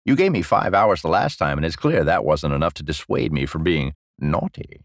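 Old prisoner, male narrator acting: sly, obsequious, suggestive; comic audiobook, animated and dryly recorded. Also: very deep voice, moderate pace, no echo, studio quality, pitched below the performer's own voice. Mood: fawning. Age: older. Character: sly, fawning, naughty old man prisoner.

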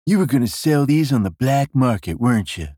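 A man's voice: medium pitch, expressive, normal speed, a little mellow, no echo, natural voice. Android king, male narrator acting: slangy, bossy, dim, cocky; comic audiobook, close dry sound. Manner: slangy, bossy, laid-back.

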